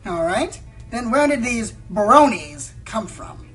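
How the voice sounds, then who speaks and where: A woman's voice: on the high side, lively intonation, slow, a bit bright, husky, exaggerated voice. A woman playing a sensationalist pony news anchor in a radio play, theatrical and insistent. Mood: pressing, probing. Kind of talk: melodramatic newscast in an amateur radio play. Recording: music under the voice.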